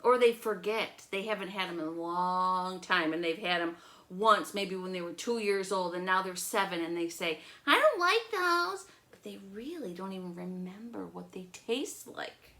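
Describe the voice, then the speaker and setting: A woman hosting children's storytime, telling stories and chatting with young listeners in an expressive, encouraging way. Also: lowish voice, moderate pace. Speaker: children's storytime host and teacher. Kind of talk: animated storytelling and chat for children.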